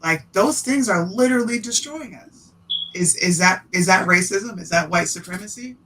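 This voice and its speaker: A man's voice: sits high, lively intonation, normal speed, noisy background. A man who hosts a podcast, making his points emphatically in a casual discussion. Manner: emphatic, argumentative. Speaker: podcaster guest.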